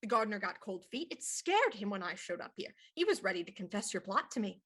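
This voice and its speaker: A woman's voice: medium pitch, sing-song, quick pace, a bit bright, husky. A woman playing a shrewd, probing police inspector in a radio murder mystery, pointed and theatrical. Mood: accusing.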